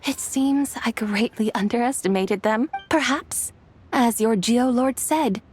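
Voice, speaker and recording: A woman's voice: fairly high pitch, unhurried, faint music behind, natural voice. A woman voicing an ancient immortal spirit in a video game, serene and wry, with formal, poetic phrasing. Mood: reflective.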